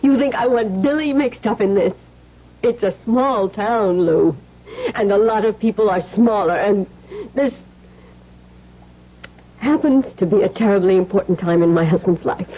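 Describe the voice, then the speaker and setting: A defiant, anxious wife, played by an actress in a vintage radio play. Her husky voice is strained and indignant as she stands up for her family, with the muffled sound of an old broadcast. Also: mid-range voice, moderate pace, pauses often, lightly acted. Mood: strained, firm. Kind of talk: radio drama acting. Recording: dull old-time radio recording.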